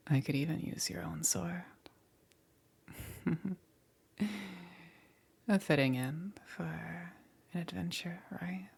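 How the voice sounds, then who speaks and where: A woman playing a smug, teasing demon queen in an ASMR roleplay, with a breathy, whispery voice and a taunting, seductive menace. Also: on the low side, exaggerated voice. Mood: softly threatening. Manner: slow, taunting, punctuated by soft chuckles.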